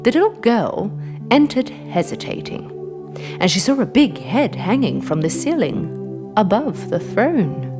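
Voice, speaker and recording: A woman narrating a scripted children's fairy tale in an expressive, dramatic way. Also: mid-range voice, measured pace. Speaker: storyteller, narrator. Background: music.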